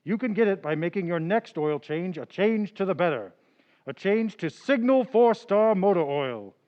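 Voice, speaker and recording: Slick oldtime radio ad announcer, kinda high voice, muffled sound. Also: male voice, animated, normal speed, a little mellow. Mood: persuasive. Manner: polished sales-pitch delivery. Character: a smooth, upbeat male radio announcer. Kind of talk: period radio commercial.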